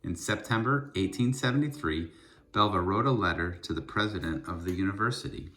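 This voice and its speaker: Low-voiced man reading a children's storybook. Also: expressive, brisk, bright, forceful tone, faint music behind. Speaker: school principal reading a children's book aloud. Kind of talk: expressive children's storybook read-aloud.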